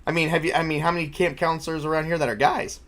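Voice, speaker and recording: A man with a fairly high voice acts as game master for a tabletop game. He improvises in a casual, conversational way, often halting to find the next detail. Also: level tone, a bit bright.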